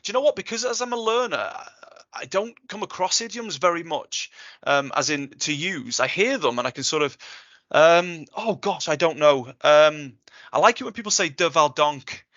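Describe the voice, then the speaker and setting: A man's voice: on the high side, lively intonation, normal speed, a bit bright, no room sound, dull audio. A man speaking as a guest tutor in a casual question session, enthusiastic, jokey and reassuring. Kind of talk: casual Q&A chat.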